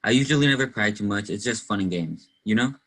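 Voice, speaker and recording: Husky kinda low voiced young guy playing a teen boy detective, earnest, asks probing stuff, stage play. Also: fast, a bit bright. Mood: probing, serious. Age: young adult. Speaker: student actor in a school play. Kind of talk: one-act play acting.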